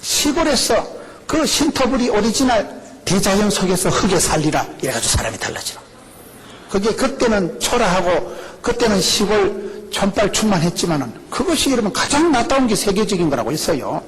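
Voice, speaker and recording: A middle-aged male pastor with a Gyeongsang accent, preaching a lively, joking sermon full of rhetorical questions. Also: sits high, normal speed, husky, low-quality recording. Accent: Gyeongsang Korean. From rural South Korea. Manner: animated, jokey preaching with rhetorical questions and laughs.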